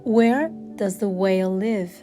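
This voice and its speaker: Woman doing kids storytime, mid pitch, really unhurried and expressive, bit of music behind. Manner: deliberate, addressing young listeners with questions. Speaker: storybook reader and language assistant. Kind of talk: expressive children's story read-aloud. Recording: background music track. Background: instrumental music backing.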